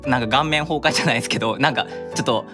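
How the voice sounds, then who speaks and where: A man's voice: medium pitch, rapid-fire, over music. A man speaking as a radio show guest in casual but polite chat, eager and agreeable, with frequent interjections.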